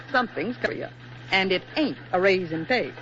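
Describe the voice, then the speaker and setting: A woman's voice: mid-range voice, expressive, measured pace, background noise, lightly acted. Wry, motherly older woman boss played by an actress. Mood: teasing. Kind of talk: 1940s radio drama acting. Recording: dull 1940s radio broadcast recording.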